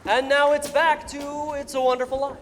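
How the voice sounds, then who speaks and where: High salesy young male announcer. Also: flat delivery, moderate pace, crowd noise. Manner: folksy, salesy. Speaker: radio announcer. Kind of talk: 1940s radio ad reading. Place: theater stage. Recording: hall reverb with music.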